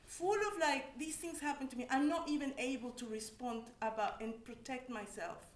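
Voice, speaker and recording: A woman from Mexico, an academic on a panel, speaking reflectively with frequent restarts. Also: high-pitched. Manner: reflective, halting, many restarts. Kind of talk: personal testimony on a panel. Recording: echoey room.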